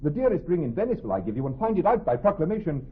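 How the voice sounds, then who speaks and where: An actor playing an earnest, courteous young Venetian gentleman, speaking formal, emphatic verse in a classical radio drama. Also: on the high side, very animated, rapid-fire, husky. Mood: reluctant, pleading. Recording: tinny narrow-band radio recording, faint music.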